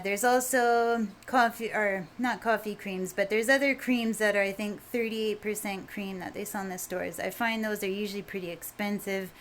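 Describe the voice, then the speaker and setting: A woman with a quiet voice, casually explaining a recipe in a home kitchen video, rambling a bit with fillers. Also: medium pitch, slight background noise. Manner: relaxed, rambling, with fillers and mild swearing. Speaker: home cook vlogger.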